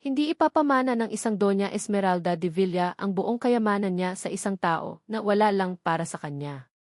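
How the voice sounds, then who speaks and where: A woman's voice: medium pitch, animated, fairly quick, darkish tone, no room sound, natural voice. Woman as stern male lawyer, cold, formal, suspicious, audio drama, dry sound. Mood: skeptical, pressing.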